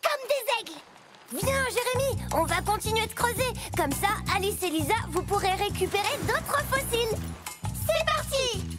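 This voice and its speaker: A performer voicing eager, playful young mini-truck children in a cartoon, exclaiming with enthusiasm over loud music. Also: very high-pitched, expressive, moderate pace. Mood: eager, excited.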